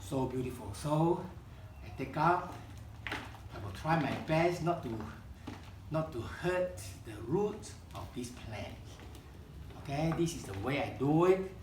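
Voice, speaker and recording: A man whose first language is Chinese, leading a live kokedama-making demonstration in a room and often pausing to search for words. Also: fairly high pitch, animated, roomy sound, noisy background. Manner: halting, searching for words, many restarts. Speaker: kokedama workshop founder demonstrating. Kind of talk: live hands-on craft demonstration. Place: room at a home demonstration event.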